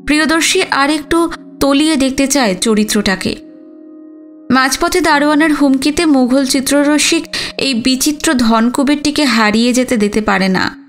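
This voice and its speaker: A woman's voice: high voice, moderate pace. A woman reading a literary story as a dramatic audiobook narration. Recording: music under the voice. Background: background music.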